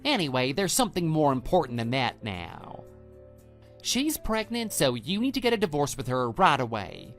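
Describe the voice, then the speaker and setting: Smug, brazen other man for audio drama, male actor: dismissive, condescending, very animated pitch, faint music underneath. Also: normal speed. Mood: gloating. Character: smug, brazen male lover taunting a betrayed husband. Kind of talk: scripted texting-story radio drama.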